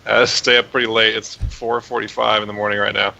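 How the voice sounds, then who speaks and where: A tired game developer, a man whose voice is a little raspy, rambling with many fillers as a guest on a call. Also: on the low side, flat delivery, fairly quick, bright, forceful tone. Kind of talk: casual interview over call. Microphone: remote voice call. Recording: dull hissy call audio.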